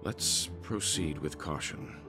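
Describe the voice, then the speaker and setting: An actor playing a calm, cautious middle-aged gentleman traveler in a space-fantasy game, with a deliberate, reserved delivery. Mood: calm, wary. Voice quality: deep, gravelly. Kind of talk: scripted game voice acting. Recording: game music behind the voice.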